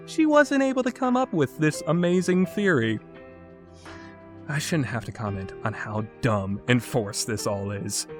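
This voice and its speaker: A man giving an opinionated video game review in an exasperated, sarcastic and emphatic way, with casual phrasing. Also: medium pitch.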